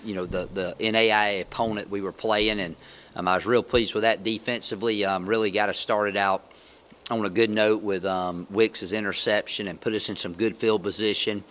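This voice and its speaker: A middle-aged man takes questions as a head football coach after a game, seated at a press table with a microphone in front of him. His voice sits fairly low but carries a bright, forward edge. He talks in a halting, matter-of-fact way, full of ums and restarts. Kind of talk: post-game press conference. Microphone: table mic.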